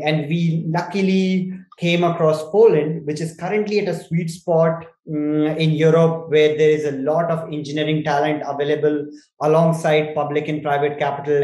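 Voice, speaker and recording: A man in his twenties from India, with an Indian accent, explains things in a measured, articulate way over a roomy video call. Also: a little high, darkish tone. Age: 20s. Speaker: startup CEO being interviewed. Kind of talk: explanatory interview talk. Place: small indoor room, video call.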